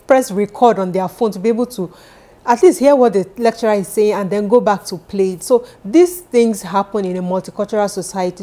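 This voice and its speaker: A woman's voice: mid-range voice, mellow. A woman from Nigeria in her thirties with a Nigerian accent, giving earnest, encouraging advice straight to camera from her living room sofa. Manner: earnest, encouraging, repeats key points. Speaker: vlogger for immigrants. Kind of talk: direct-to-camera advice vlog.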